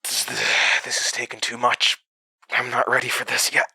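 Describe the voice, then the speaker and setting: An actor in an audio drama playing a mysterious, volatile male entity living inside someone's head, speaking in a halting, cryptic way. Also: mid-range voice, monotone, bright, forceful tone, husky, no echo, heavily acted, low-quality recording. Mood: muttering, agitated. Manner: halting, cryptic, swinging from muttering to outbursts. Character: fragmented mysterious male entity implanted in another's mind, volatile. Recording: music behind.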